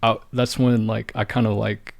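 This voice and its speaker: A man's voice: fairly low pitch, level tone, moderate pace, creaky, no echo. A young man from St. Louis hosting a podcast, chatting in a laid-back, rambling way with lots of "like". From St. Louis, Midwest US. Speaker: podcast host. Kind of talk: casual conversation.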